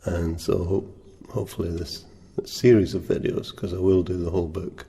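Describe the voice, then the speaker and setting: A soft-spoken man teaching a guitar lesson, unhurried, pausing often with ums and uhs. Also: low-pitched, fairly even intonation, creaky, breathy edge. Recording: reverberant room with guitar playing.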